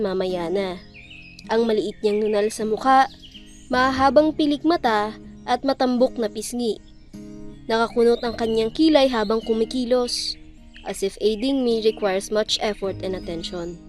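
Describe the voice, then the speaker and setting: A woman's voice: on the high side, close to an everyday voice. A woman playing a young female student telling her love story in an earnest, poetic radio drama narration.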